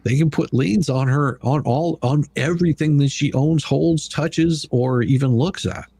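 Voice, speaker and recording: A man with a slightly husky voice hosting a casual livestream commentary in a blunt, mocking, colloquial way. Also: a little high, a little slow, mellow, no echo. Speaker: livestream host.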